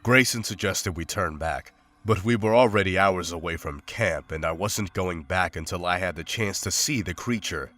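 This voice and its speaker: A man's voice: sits low, normal speed, hardly any pauses, pushed and bright. A man playing a stoic, scholarly monster hunter in a visual novel, speaking in a formal, composed, literary way. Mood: composed, reflective. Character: stoic, scholarly male monster hunter, calm and matter-of-fact. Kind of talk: scripted visual novel drama and journal narration.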